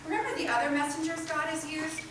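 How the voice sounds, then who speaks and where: Woman doing readers theatre, highish voice, far off mic, noisy recording. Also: fast talker. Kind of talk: scripted dramatic reading. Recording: echoey hall, distant mic, loud noise.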